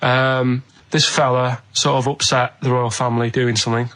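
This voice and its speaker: A man, a radio show regular, telling anecdotes casually in an earnest, halting, rambling and vague way. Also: medium pitch, level tone, a bit bright.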